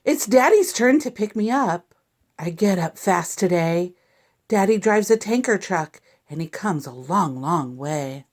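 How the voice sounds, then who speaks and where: A woman reading a picture book aloud to young children in an expressive, deliberate, teacherly way. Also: lowish voice. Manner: deliberate, animated reading with sound effects and asides to listeners.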